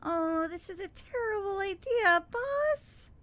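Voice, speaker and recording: An actress voicing a small, anxious female sidekick creature in an audio drama, with a fretful, shrill, cartoonish voice. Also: animated, slow, a bit bright, full character voice. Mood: worried.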